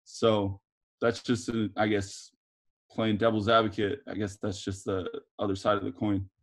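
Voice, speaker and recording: A man with a very deep voice chatting casually on a video call from home, friendly and jokey. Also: level tone, normal speed. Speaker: workshop participant. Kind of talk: casual chat. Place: room at home. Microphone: video call on a laptop.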